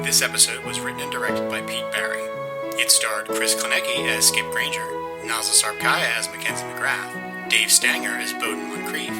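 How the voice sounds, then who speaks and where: Male announcer, scripted credits narration, mid-pitched voice, loud music underneath. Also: rough recording.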